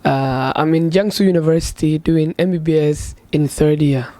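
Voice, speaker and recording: A man in his twenties from Somalia, a medical student giving casual, halting answers full of "like". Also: on the high side, warm tone, no echo. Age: 20s. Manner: hesitant, filler-heavy, frequent "like". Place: student dormitory room.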